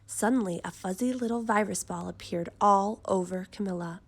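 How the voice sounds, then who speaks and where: A woman reading a children's story aloud with expressive, deliberate and dramatic phrasing. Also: medium pitch.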